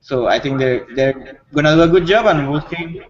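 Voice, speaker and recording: A man's voice: fairly high pitch, moderate pace. A man speaking as a panelist in a casual discussion. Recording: dull audio with people around.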